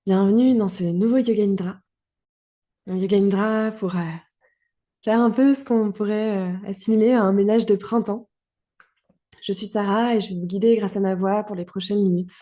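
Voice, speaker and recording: A woman guiding a relaxation meditation as a yoga teacher, calm and soothing, in short phrases with long pauses. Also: medium pitch. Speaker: yoga nidra guide.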